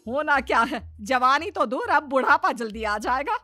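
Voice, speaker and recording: A woman's voice: high-pitched, lively intonation, normal speed, pushed and bright, no echo, faint music behind. A woman voicing a gossipy, complaining middle-aged Indian mother-in-law in a Hindi cartoon, with a dramatic, lamenting manner.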